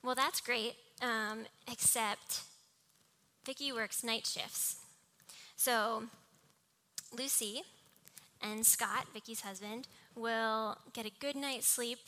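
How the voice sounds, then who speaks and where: Young female preacher, fairly high and very bright small voice, mixing scripture with personal anecdote. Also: fairly even intonation, slow pace. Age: young adult. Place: live talk before a congregation.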